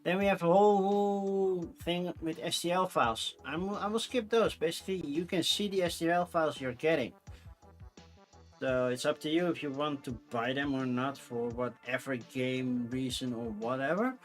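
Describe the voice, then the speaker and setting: A Dutch-accented man hosting his own channel with a somewhat high voice. He chats casually and rambles, with fillers and quick self-corrections. Faint music plays underneath. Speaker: channel host. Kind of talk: casual solo chat to camera.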